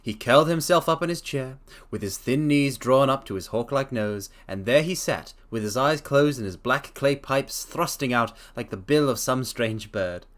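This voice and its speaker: A man giving a dramatic storybook reading, theatrical and playful, with excited chatty asides. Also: mid-range voice, moderate pace. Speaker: streamer reading aloud.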